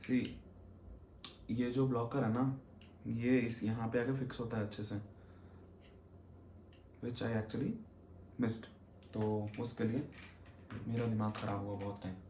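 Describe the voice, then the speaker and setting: An Indian-accented man explains gear in a casual vlog style, code-switching as he goes and checking in with the listener. His delivery is unhurried and his tone mellow. The room adds a clear echo around his voice. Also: mid-range voice, little pitch movement. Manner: mixes Hindi and English mid-sentence, explanatory, frequent check-in tags. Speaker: motorcycle vlogger reviewing gear. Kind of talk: casual unboxing and review talk. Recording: roomy echo, noticeable background noise.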